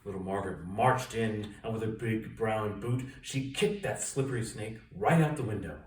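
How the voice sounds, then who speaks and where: A man reading a children's story aloud with expressive, dramatic emphasis. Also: lowish voice, moderate pace. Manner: expressive, dramatic emphasis and sound-effect words. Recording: roomy echo, distant mic, faint noise.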